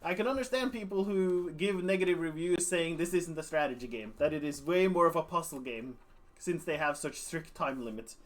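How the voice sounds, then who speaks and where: A man's voice: on the high side, normal speed. A man streaming a strategy game, chatting casually about his plans and greeting viewers in a rambling, self-deprecating way.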